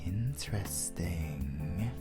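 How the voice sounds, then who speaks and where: A British man playing an ancient, suave vampire lord in an intimate horror audio roleplay, menacing and flirtatious, with deliberate, teasing delivery that often drops to a whisper. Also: deep voice, animated, music in the background, heavily acted. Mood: cool, probing.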